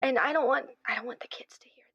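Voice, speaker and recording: A woman playing a fearful, shaken witness in an improvised audio drama, halting and repeating herself uneasily. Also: high voice, breathy edge, no echo, muffled recording. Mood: scared, secretive. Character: shaken, fearful woman recounting a horrible incident.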